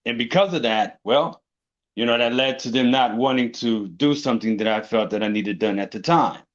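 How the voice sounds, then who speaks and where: A man in a podcast conversation recounts his path at an easy storytelling pace, checking in with his listener every few phrases. His voice is mid-pitched and the recording sounds a bit muffled and lo-fi. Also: normal speed. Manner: relaxed storytelling, peppered with "you know" and "right". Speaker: interview guest. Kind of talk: casual conversation.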